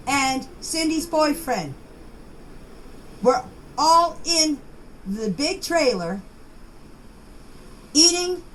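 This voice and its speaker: High-pitched, slow-talking mature woman. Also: poor audio. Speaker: private person giving her account in voice clips. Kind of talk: informal spoken personal account. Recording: amateur voice clips with background noise.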